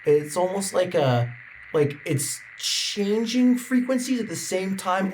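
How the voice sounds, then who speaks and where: A man running a tabletop roleplaying game as game master, setting scenes in a dramatic, halting way. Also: medium pitch, darkish tone, a little hiss or hum, poor audio. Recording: background music behind the voice. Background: music.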